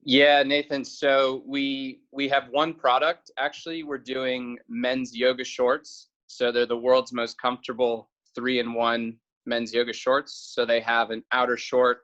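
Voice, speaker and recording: A man's voice: mid-range voice, flat delivery, a little slow, hardly any pauses, fairly bright tone, dull audio. A man from South Carolina giving conversational interview answers as a guest, a little hesitant and repeating words. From South Carolina, United States. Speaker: interview guest, company founder.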